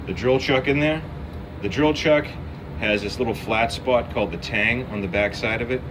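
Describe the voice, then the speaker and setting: A man giving an informal, step-by-step hands-on demonstration as a machine shop instructor. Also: lowish voice. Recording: distant mic, noisy machine shop hum.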